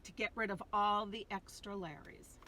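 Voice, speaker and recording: A woman voicing an excitable, mischievous little male creature from a children's storybook, coaxing and exclaiming playfully. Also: mid-range voice, normal speed, slight background noise, natural voice. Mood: excited alarm.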